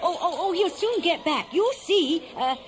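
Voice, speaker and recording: A woman acting a spirited, coaxing young goddess in a radio play, excitable and full of exclamations. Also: very high-pitched, a little slow, noisy background. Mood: flustered.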